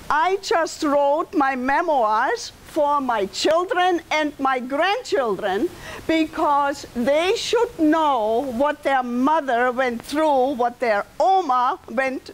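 An older woman with a heavy German accent, giving a loud, projected talk to an audience in a library meeting room. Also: high voice, animated, slow pace, low-quality recording. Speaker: guest speaker. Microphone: far camera.

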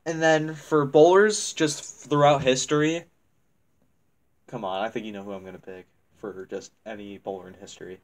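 A man's voice: medium pitch, steady tone, normal speed. A young man hosting a casual chat in a small room, rambling and restarting his sentences, laughing often, picked up by a webcam across the room. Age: young adult. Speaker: channel host. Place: small dim room indoors.

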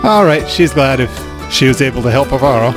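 A man's voice: medium pitch, lively intonation, a little fast. A man hosting a let's play, translating and commenting on a game in a casual, wry way. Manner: casual, wry, dry humor. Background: game music.

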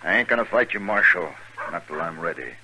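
Very deep, menacing gunman. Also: animated, a little fast. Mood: menacing, vengeful. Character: bitter, vengeful ex-convict gunman of the Old West. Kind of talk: 1950s radio western acting. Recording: noisy old 1950s radio recording.

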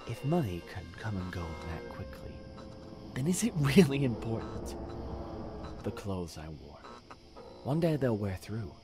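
A man playing a deranged, self-righteous serial killer in a dramatic audio drama, halting and menacing, sometimes dropping into a whisper. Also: lowish voice, big pitch swings, over music. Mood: bleak, chilling.

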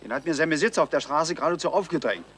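A man's voice: on the high side, lively intonation, rapid-fire, a bit bright. An actor playing a shifty, down-on-his-luck dog thief under questioning in a radio crime drama, evasive and self-justifying. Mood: confessing. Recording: slightly noisy 1970s radio recording.

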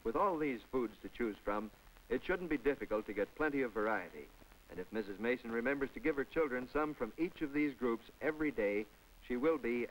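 A man's voice: medium pitch, slight background noise, poor audio. A man narrating a public information film in a scripted, chatty voice-over with folksy asides to the viewer. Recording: old film soundtrack with faint music.

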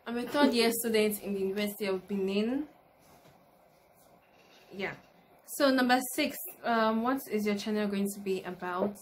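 A woman's voice: medium pitch, normal speed, pauses often. A woman in her early twenties with a Nigerian accent, chatting informally to camera in a question-and-answer vlog. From Lagos, Nigeria. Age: early 20s. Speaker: YouTuber, university student. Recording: noticeable background noise, room ambience.

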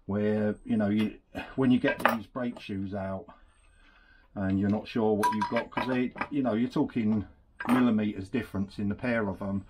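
A man's voice: on the low side, moderate pace, heavy background noise. A man talks casually through a repair in a workshop while he works at a bench. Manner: relaxed, chatty, talks while working with hands. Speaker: scooter mechanic demonstrating a rebuild. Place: indoor workshop at a workbench. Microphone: camera on the bench, speaker off to the side.

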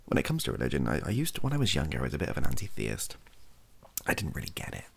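Young British male, soft-spoken ASMR chat; husky, lively intonation, hesitant and informal. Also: rumbling low, very fast. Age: young adult. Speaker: content creator. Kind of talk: softly spoken ASMR-style casual chat to camera. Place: small cluttered bedroom, indoors.

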